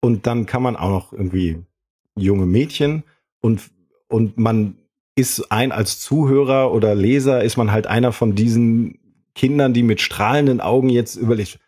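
A man's voice: medium pitch, normal speed, warm tone. A man talking casually as a podcast panelist. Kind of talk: casual discussion.

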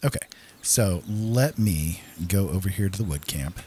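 A man's voice: low voice, expressive, measured pace, mellow, slightly rough. A man hosting a gaming video with casual, playful, chatty commentary, often talking to himself.

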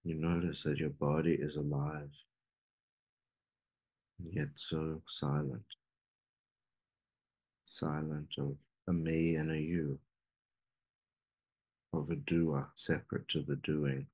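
A man with an extremely low voice guides a meditation. His delivery is slow and fairly flat, with long pauses between phrases. The tone is slightly husky rather than clear. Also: low-quality recording.

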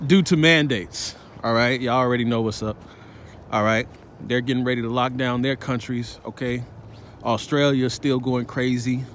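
A man's voice: medium pitch, fairly even intonation, unhurried, fairly bright tone. Guy walking and preaching into his phone outside, lots of "all right? man", street noise faint behind. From Chicago, United States. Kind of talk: walking vlog commentary. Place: city sidewalk outdoors, walking. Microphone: phone held while walking. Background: faint traffic and street ambience.

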